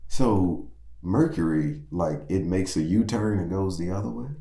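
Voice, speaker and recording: Low-voiced, theatrical male talk show host. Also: mellow, reverberant. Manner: theatrical, mock-formal diction with drawn-out hums. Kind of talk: playful comedic interview.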